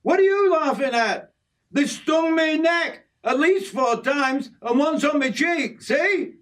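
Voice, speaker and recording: A man voicing an excitable, indignant young lad from Yorkshire in a told story, with a Yorkshire accent and a plaintive manner. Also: high voice, unhurried, recorded from a distance, pitched above the performer's own voice. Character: excitable, indignant Yorkshire boy, new to the bush. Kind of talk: acted character in a narrated memoir.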